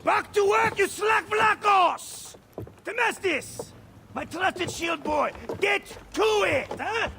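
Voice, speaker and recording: An actor playing a roguish, theatrical mercenary ship captain of the ancient world in a video game, over background noise. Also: male voice, very high voice, slow pace, heavily acted. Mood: boisterous. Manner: boisterous, theatrical. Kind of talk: video game drama acting. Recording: noisy scene, clear background noise.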